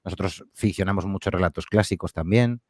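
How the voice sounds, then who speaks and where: A man's voice: sits low, brisk, a little mellow, no echo. A man who makes audio fiction, answering interview questions in a friendly, hesitant way with fillers and restarts. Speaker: podcast creator and guest. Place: home studio.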